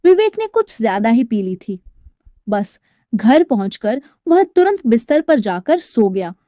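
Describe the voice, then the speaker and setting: A woman's voice: on the high side, sing-song, measured pace, dark tone, no room sound. A woman narrating a scripted story in a dramatic storytelling style, recorded as a close voice-over.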